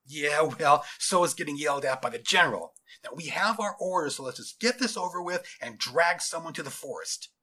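Impatient military henchman, done by a narrator, brusque and forceful, lively pitch, storybook drama. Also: brisk, few pauses. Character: tall soldier, gruff impatient obedient henchman.

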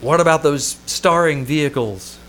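A man's voice: fairly high pitch, normal speed, close to an everyday voice. A man acting an exasperated, wry wisecracker in an old-time radio comedy. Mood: tender. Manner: excitable, wry, sardonic. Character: exasperated, wisecracking man pestered by a melodramatic actress.

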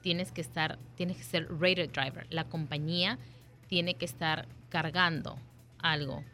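A woman's voice: low-pitched, fairly even intonation, unhurried, sharp tone, soft background music. A woman from an insurance agency explaining coverage in a patient, direct way. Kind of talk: explanatory talk.

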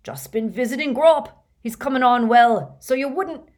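A woman voicing a big, gruff male groundskeeper with a loud, blustering, informal way of talking in a storybook reading. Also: sits high, moderate pace, voice pushed up, close to an everyday voice. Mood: hearty greeting.

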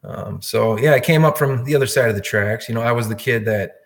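A man's voice: mid-range voice, brisk, creaky. A man in his fifties from Wisconsin, a podcast guest talking in a friendly, rambling way. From Wisconsin, USA. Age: 50s. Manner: friendly, rambling, repeats words. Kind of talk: casual interview talk.

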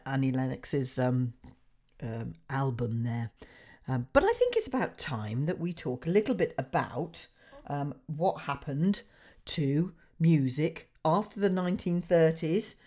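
A woman hosting a radio show in a chatty way, with a deep, husky voice made hoarse by a cold, laughing and filling pauses with ums. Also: darkish tone. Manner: chatty, laughing, with many fillers. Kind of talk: casual radio presenting.

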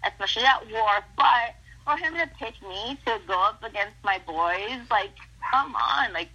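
A woman's voice: fairly high pitch, expressive, moderate pace, sharp tone, husky, muffled recording. A young woman from Mexico whose first language is Spanish, a pro wrestler chatting upbeat and gushing in a casual interview, full of "like" and "awesome". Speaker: professional wrestler.

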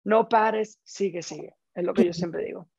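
A man's voice: sits high, moderate pace, creaky, darkish tone, husky, dry recording, dull audio. A man from Caracas with a Venezuelan accent, telling his story casually and emphatically as an interview guest. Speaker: interview guest, stage actor.

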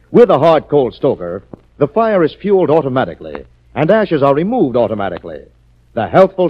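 Dull 1940s radio recording, male announcer, emphatic, formal, persuasive, highly varied pitch. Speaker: announcer and narrator.

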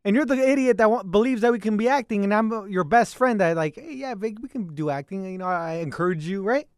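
A man's voice: high voice, fast talker, clear, resonant tone, dry recording, studio quality. A man hosting a comedy podcast in casual banter, teasing and coaxing his co-host.

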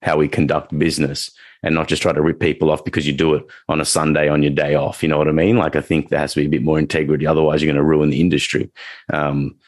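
A man's voice: very deep voice, little pitch movement, fast talker, no room sound, clean studio sound. A man who works as a dog trainer, co-hosting a casual podcast chat and talking in a rambling way, with many restarts and fillers.

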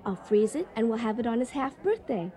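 A nervous, scatterbrained hostess in a radio comedy. Her voice sits fairly high and swings animatedly as she rambles on, flustered and guileless. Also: big pitch swings, a little fast, dark tone, a faint crowd. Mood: chatty, excited. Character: nervous, chatty, scatterbrained female party hostess. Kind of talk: comedic radio drama acting.

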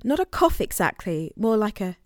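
A woman's voice: mid-range voice, very animated, normal speed, darkish tone, no echo, lightly acted. Actress as an earnest woman, dry close sound. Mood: wistful. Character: earnest, searching sister of a missing man. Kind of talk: naturalistic audio drama monologue and dialogue.

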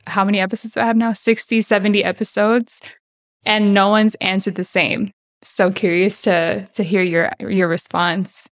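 A woman's voice: medium pitch, little pitch movement, normal speed. A woman hosting an interview podcast, effusive and chatty, dropping Spanish phrases into her English. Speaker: podcast host. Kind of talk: warm enthusiastic interview chat.

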